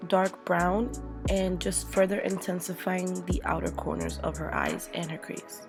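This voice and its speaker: A woman's voice: on the low side, steady tone, a little slow, vocal fry. A young woman with a voice worn down by a cold, chatting her way through a makeup tutorial as a voice-over. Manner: chatty, playful, narrating each step. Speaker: makeup artist. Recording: voice-over with loud background music. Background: music.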